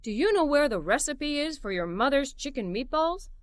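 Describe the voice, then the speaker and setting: A woman's voice: high-pitched, big pitch swings, moderate pace, no echo, studio quality. A woman voicing a kindly, bookish father talking affectionately to his young daughter in a children's storybook. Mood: friendly, thoughtful.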